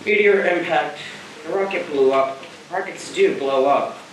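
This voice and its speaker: A man's voice: on the high side, measured pace, slight background noise, close to an everyday voice. Young man acting grim spaceship captain, far-off echoey stage. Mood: grim, resigned. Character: shaken male spaceship captain, grim and wry. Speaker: actor in a school theater production. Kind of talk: amateur stage play acting. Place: theater stage, picked up from a distance.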